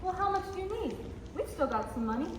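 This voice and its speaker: A female student playing earnest, gentle young women in a radio play. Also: extremely high pitch, lively intonation, fast talker. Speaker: high school stage actor. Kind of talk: theatrical radio play acting. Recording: echoey hall from far off, noisy.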